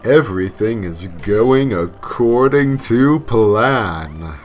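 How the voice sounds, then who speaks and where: A man voicing the devil as a pompous, sadistic demon villain, proclaiming slowly and theatrically in a creepypasta story. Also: on the low side, lively intonation, soft background music, pitched above the performer's own voice. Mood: gloating. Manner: slow, drawn-out, theatrical proclaiming.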